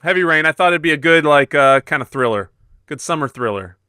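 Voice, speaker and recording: A man's voice: on the high side, lively intonation, a little fast, bright, forceful tone, clean tone, no room sound, clean studio sound. A man co-hosting a casual gaming podcast in an informal, joking way. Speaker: podcast co-host.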